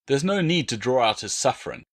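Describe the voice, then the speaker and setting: A man voicing a principled Roman soldier who stays even, firm and measured under threat in an audiobook. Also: medium pitch, natural voice. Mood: firm denial.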